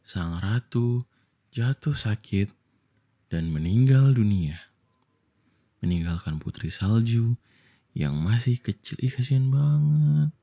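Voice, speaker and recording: Soft, dark-voiced Indonesian man telling bedtime stories. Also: medium pitch, expressive. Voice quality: soft, breathy. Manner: playful, slow, affectionate boyfriend roleplay. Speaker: ASMR voice actor, bedtime storyteller. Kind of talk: intimate ASMR bedtime storytelling with casual asides.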